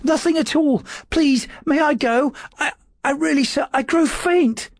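A man voicing a timid, frightened male secretary who stammers in a high, strained voice. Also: measured pace, no room sound, studio quality. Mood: pleading.

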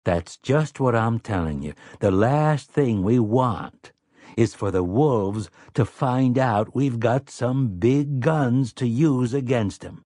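A man's voice: mid-range voice, animated, takes it slowly, dark tone, no room sound, clean studio sound. A man voicing a wily, cheerful grown man who talks casually and conspiratorially in an audiobook. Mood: friendly.

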